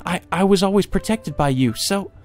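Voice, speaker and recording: A man's voice: high voice, expressive, moderate pace, voice pushed up. A man voicing a grief-stricken young maid in a visual novel, stammering desperate cries for her sister. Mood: anguished pleading.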